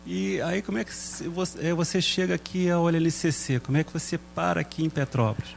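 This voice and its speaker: A man's voice: a little high, a little fast, vocal fry. A man hosting a TV interview, asking short probing questions. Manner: asks short probing questions, brief backchannels. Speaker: TV interviewer host.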